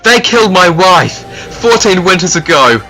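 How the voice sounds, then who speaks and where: Cartoon dragon dad, male actor: higher-set forceful voice, earnest and protective, defiant under strain, faint music behind. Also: sing-song, normal speed, full character voice. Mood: grieving, bitter. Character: protective, defiant dragon father serving a queen. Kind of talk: animated fantasy drama acting.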